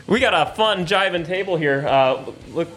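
A man's voice: high voice, lively intonation, normal speed, fairly bright tone, poor audio. A man hosting a casual chat show in a rambling, upbeat way. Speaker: show host.

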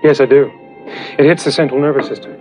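An actor playing a smooth, scheming, brazen young heir in a 1980s television soap drama. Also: male voice, medium pitch, steady tone, quick pace, mellow, lightly acted. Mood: smooth, calculating. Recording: muffled TV soap clip under music.

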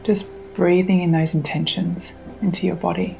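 A woman with a lowish voice guides a meditation slowly and soothingly. Her delivery is very even, with long pauses between gentle instructions, and music plays clearly behind her. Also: low-quality recording. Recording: music under the voice.

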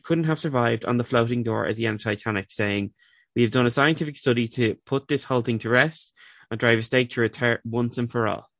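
A young man hosting a podcast, easygoing and quick to laugh, reading pop news in a light, casual way while a bit sniffly. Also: mid-range voice, fairly bright tone, dry recording. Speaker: podcast host.

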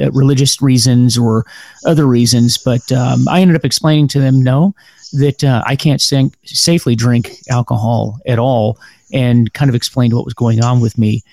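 An American man hosts a podcast interview. His pitch sits in the middle, and he talks in a loose, rambling way with frequent fillers and restarts. The sound is dry, with no room echo. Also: creaky, darkish tone. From United States. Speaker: podcast host. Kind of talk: casual interview.

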